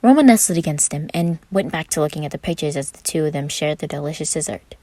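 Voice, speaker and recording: Low-voiced woman narrating a story. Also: quick pace, warm tone, dry recording. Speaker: podfic narrator. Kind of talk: expressive scripted story narration.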